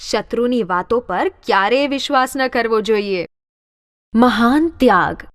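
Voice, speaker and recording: Animated high-voiced woman storyteller. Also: unhurried, no echo. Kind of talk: scripted children's story narration. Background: background music.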